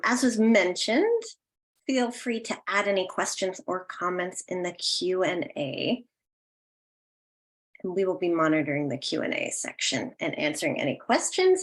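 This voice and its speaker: A woman's voice: medium pitch, fairly bright tone. A woman hosting a listening session, talking casually to participants. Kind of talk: announcing and casual event hosting.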